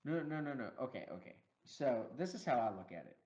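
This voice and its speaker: Animated guy breaking down a movie, voice jumps around a lot, kinda lo-fi recording. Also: medium pitch, fairly quick. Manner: animated, laughs often, acts out quotes. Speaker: podcast co-host. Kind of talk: casual movie review chat.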